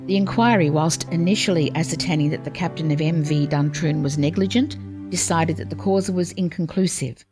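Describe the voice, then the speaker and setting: Lady narrator, lowish voice, formal measured documentary read w music behind.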